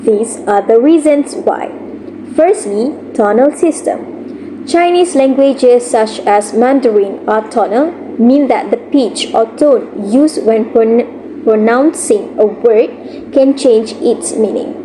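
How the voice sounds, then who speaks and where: A woman student reading a scripted class presentation in a steady manner, with the odd stumble. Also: fairly high pitch, slow pace, noisy background. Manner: steady scripted delivery with occasional slips.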